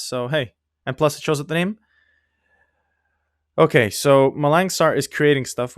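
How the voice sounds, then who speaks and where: A man's voice: medium pitch, no echo, clean studio sound. A young man, not a native English speaker, giving rambling, self-mocking commentary on his own game, often laughing. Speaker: gaming YouTuber. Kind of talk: casual gameplay commentary.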